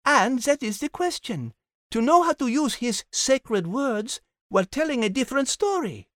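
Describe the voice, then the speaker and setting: A performer voicing a refined female cat who co-hosts the podcast, with a soft, gracious manner. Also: sits high, big pitch swings, moderate pace, no room sound, clean studio sound. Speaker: podcast co-host.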